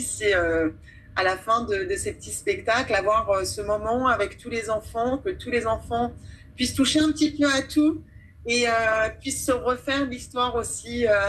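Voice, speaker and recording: A woman's voice: a little high, a bit bright, slight background noise, low-quality recording. Thoughtful woman from France, hesitant. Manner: thoughtful, pauses often with hesitations. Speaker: actress and children's storyteller, guest. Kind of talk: radio interview, reflective talk.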